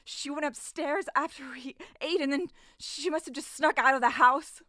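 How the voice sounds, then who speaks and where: High, bright, upset island mother. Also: normal speed, no room sound, studio quality. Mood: distressed. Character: distressed, outraged island mother of missing daughter. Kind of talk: audio drama acting.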